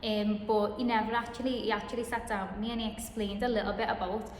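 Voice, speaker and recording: A woman's voice: medium pitch, flat delivery, fast talker, echoey room, long reverb tail, a little hiss or hum. A woman tells a personal story to camera, chatting with many ums and restarts. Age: 20s. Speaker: vlogger.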